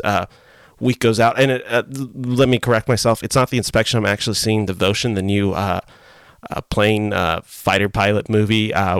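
Hoarse man rambling on a solo podcast. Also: on the low side, no echo. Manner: rambling, self-correcting, frequent uh fillers. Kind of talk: casual solo podcast chat. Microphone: desk mic with iPhone as webcam.

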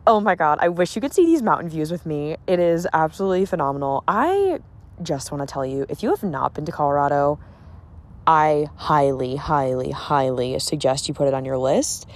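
A woman's voice: deep voice, animated, moderate pace. An American woman from the Boston area hosting a casual podcast on her own, talking in a gushing, emphatic, informal way like a motivational coach. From Boston area, eastern United States. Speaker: podcast host and confidence coach. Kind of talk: casual solo podcast monologue with motivational pep talk.

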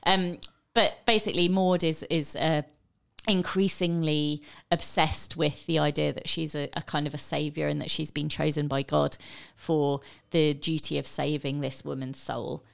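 A woman's voice: sits low, vocal fry. A woman co-hosting a podcast, chatting enthusiastically about a film, with lots of fillers and restarts. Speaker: podcast co-host. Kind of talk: casual film discussion.

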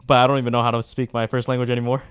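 Smooth-voiced young man, casual podcast banter. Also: medium pitch, racing, no echo, clean studio sound. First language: not English. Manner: relaxed, joking, slangy. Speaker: podcast co-host. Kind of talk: casual chat.

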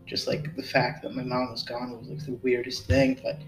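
A man in his twenties, a podcast host, telling a personal story in a halting way full of fillers and restarts, then chatting casually. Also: medium pitch, monotone, far from the mic. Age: 20s. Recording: roomy and off-mic with music behind.

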